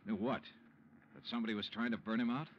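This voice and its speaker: An actor playing a shrewd, cool investigator in a vintage radio detective drama, clipped and matter-of-fact. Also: male voice, on the low side, steady tone, fast, natural voice. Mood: urgent, probing. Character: brisk, shrewd, cool male insurance investigator. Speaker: lead and narrator. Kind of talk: 1950s-60s radio detective drama acting. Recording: muffled, noisy old radio recording.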